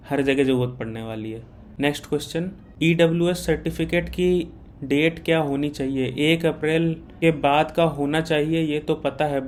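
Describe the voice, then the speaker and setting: Higher-pitched Indian man, noisy recording. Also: darkish tone. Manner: reassuring, matter-of-fact. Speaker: exam guidance host. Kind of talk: informal Q&A, reading out questions and answering. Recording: clear background noise.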